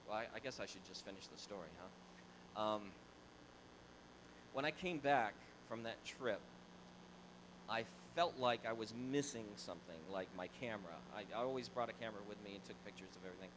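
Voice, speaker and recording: A man's voice: on the low side, normal speed, background noise. A middle-aged man from Florida, a pastor telling a personal story to his church in a conversational, restarting way. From Tallahassee, Florida. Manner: conversational, repeats words and restarts, animated. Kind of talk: church talk, casual storytelling. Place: large church sanctuary. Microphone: handheld mic.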